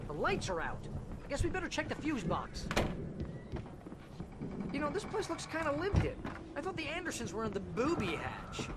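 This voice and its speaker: A man's voice: very high-pitched, wildly swooping pitch, moderate pace, long pauses, very noisy, exaggerated voice. A man playing a loyal, goofy best buddy in a video game, chatty and wisecracking. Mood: excited, curious. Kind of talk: comic video game acting. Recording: loud music behind the voice.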